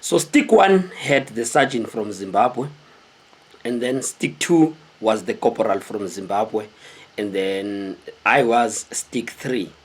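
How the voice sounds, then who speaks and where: Husky South African man telling stories. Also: lowish voice, slow pace. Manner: animated, conversational, with rhetorical questions to viewers. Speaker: host telling stories of his military service. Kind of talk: casual storytelling to camera. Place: small indoor room with cloth backdrop.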